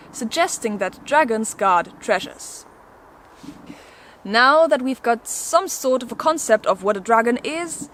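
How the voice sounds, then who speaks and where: A woman with a fairly high voice presents a scripted explainer. Her delivery is playful and a little theatrical, with casual asides to the viewer. There is noticeable noise in the background of the recording. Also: a bit bright. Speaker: video host presenting an educational explainer.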